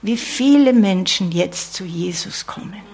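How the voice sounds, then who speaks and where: A woman's voice: mid-range voice, expressive, measured pace, a little mellow, husky. A woman preaching a sermon in an earnest tone, often adding "ja?" asides and dropping to a whisper.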